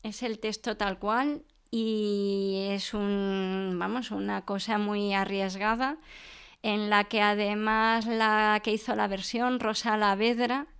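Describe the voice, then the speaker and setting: Unhurried, clear-voiced woman professor. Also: mid-range voice, level tone, a bit bright. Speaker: professor being interviewed about classical radio theatre. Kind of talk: conversational interview, explaining.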